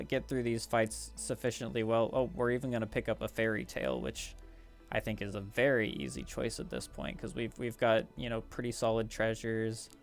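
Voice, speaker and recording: Man, gaming video host, lowish voice, casual rambling commentary, hesitant delivery, background music. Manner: hesitant, rambling, talking through strategy.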